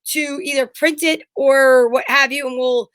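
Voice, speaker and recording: A woman's voice: sits high, steady tone, unhurried, fairly bright tone, no room sound. A woman co-hosting a casual chat show, talking in a blunt, emphatic, rambling way and swearing freely. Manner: rambling anecdotal storytelling. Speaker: show co-host.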